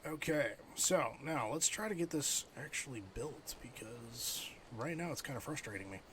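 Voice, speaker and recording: Middle-aged male streamer, average pitch, casual think-aloud gaming chat, slight background noise. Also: animated, normal speed, a bit bright. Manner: thinks aloud, muttering while playing and chatting with viewers. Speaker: livestreamer.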